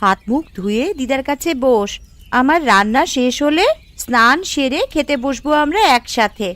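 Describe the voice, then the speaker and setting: A woman voicing a gentle, caring village mother and dutiful daughter-in-law in a cartoon, attentive and homely. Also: high voice, moderate pace, no room sound. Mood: busy, kind. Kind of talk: Bengali family cartoon voice acting.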